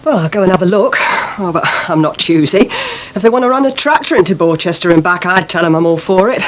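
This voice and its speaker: A woman's voice: fairly low pitch, expressive, fast talker, close to an everyday voice. An actress playing a deferential, plain-spoken cleaning lady in a radio soap. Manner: hesitant, apologetic. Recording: slightly noisy 1980s radio broadcast.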